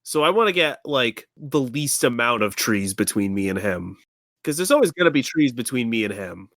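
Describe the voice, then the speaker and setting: A woman's voice: very deep voice, sing-song, normal speed, dry recording, clean studio sound. A woman playing a tabletop game, chatting casually with a blunt, teasing manner.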